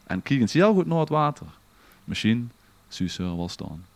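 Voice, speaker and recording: A man reading a legend aloud in a relaxed, theatrical storytelling manner. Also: medium pitch, normal speed, creaky. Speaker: podcast host. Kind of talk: dramatic read-aloud storytelling.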